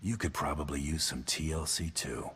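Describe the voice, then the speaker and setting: A huge cocky merc dude in a game, super deep, flirty, few words, slight bg noise. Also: male voice, moderate pace, a bit bright. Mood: flirtatious. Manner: teasing, laconic. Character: big flirtatious tough male mercenary, cocky, protective. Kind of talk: video game voice acting.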